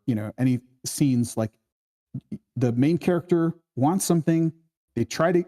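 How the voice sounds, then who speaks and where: A man's voice: medium pitch, dark tone. A man hosting a casual workshop discussion, explaining things conversationally and checking in with his listeners. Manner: acts out quoted dialogue while explaining, often checking with "right?". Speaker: workshop host leading a screenplay discussion.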